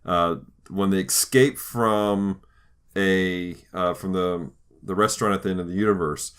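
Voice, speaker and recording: A man's voice: low voice, a little slow, fairly bright tone, smooth. A man hosting a podcast in a casual, jovial way, laughing easily as he talks. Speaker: podcast host.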